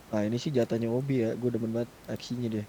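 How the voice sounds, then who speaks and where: A man's voice: medium pitch, level tone, fast talker, dark tone, slight background noise, poor audio. A man chatting casually in slang with his group on a gaming voice chat. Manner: colloquial slang. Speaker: gamer planning with group.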